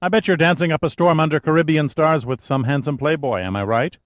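A male narrator voicing a brisk, wisecracking young woman secretary on a phone call. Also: on the high side, no echo, voice pushed up, close to an everyday voice. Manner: wisecracking, quick, efficient.

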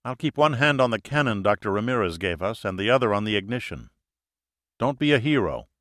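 Audiobook heroine, read by a man: capable American woman, bright crisp delivery, teasing and careful. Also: lowish voice, very animated, a little fast, no room sound, clean studio sound. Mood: wry, teasing. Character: wry, capable, cautious American wife and scientist.

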